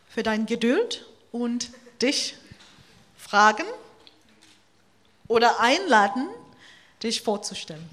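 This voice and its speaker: Very slow, hesitant woman moderator. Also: mid-range voice, fairly bright tone.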